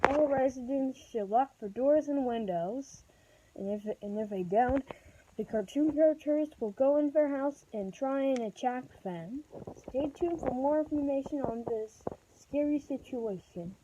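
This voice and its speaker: A woman with a high, soft-edged voice delivers a homemade emergency alert. She reads slowly and haltingly, stumbling over her words. The recording is dull and slightly noisy. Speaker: vlogger acting as emergency alert announcer. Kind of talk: homemade mock emergency broadcast announcement. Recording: dull, muffled home recording with noise.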